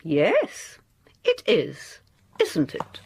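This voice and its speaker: A woman's voice: on the low side, wildly swooping pitch, drawn-out words, darkish tone, a little hiss or hum, exaggerated voice. An actress playing a fond, proud middle-aged English mother in a period audio drama, genteel and theatrical. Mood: fond, brisk. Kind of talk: period radio drama acting.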